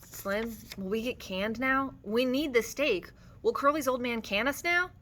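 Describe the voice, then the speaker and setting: A woman's voice: fairly high pitch, a little slow, fairly bright tone, a little hiss or hum, pitched above the performer's own voice. A woman voicing a protective, wary male ranch hand in an audiobook, urgent and commanding. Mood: anxious.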